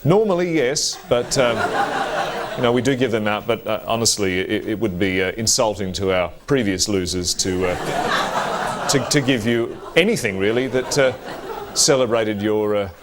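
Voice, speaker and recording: An actor playing a smug quiz show host who mocks a losing contestant with dry, halting asides. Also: male voice, on the low side, noisy background, natural voice. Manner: dry, cutting, hesitant asides.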